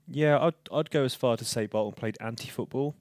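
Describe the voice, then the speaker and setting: A man's voice: mid-range voice, fairly quick, a little mellow, no room sound. A man in a casual football podcast chat, earnest and hesitant, with many fillers.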